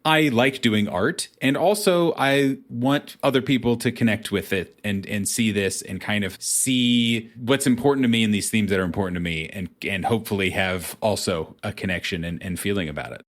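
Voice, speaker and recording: A man's voice: fairly low pitch, normal speed, hardly any pauses, fairly bright tone. A man hosting a casual interview, asking long questions that he rephrases as he goes. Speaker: podcast interviewer.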